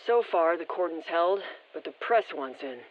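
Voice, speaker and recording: A woman soldier heard over a muffled radio link in a shooter game. She delivers field intelligence in a matter-of-fact military way. Her voice sits at a medium pitch. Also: animated, normal speed. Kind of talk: scripted video game intel report. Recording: muffled, band-limited radio transmission.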